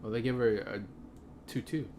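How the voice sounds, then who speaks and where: A man's voice: mid-range voice, fairly even intonation, normal speed, background noise. A man giving casual, offhand commentary on a video game stream, half talking to himself. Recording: loud game sound and ambience behind. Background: video game sound effects.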